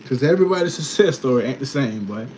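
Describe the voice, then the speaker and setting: A man in his early twenties talks off the cuff in a casual livestream Q&A. His voice sits at a middle pitch, with slangy, emphatic phrasing and frequent check-ins with the listener. There is clear background noise behind him. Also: expressive, fast talker, a little mellow, rough recording. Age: early 20s. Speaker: custom shoe artist answering viewer questions.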